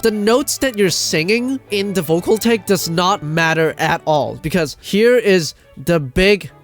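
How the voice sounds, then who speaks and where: A man's voice: sits high, animated, unhurried, faint music behind. A man giving a casual ranting commentary as a video host, exasperated, emphatic and sweary, often repeating himself for stress.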